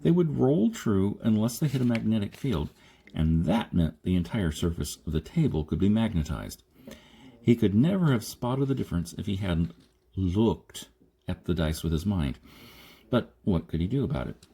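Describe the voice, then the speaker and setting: A man's voice: fairly low pitch, big pitch swings, moderate pace, vocal fry, warm tone, husky. A man reading a science fiction story aloud as scripted audiobook narration, now and then stumbling over a phrase. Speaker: audiobook reader. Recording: noticeable background noise.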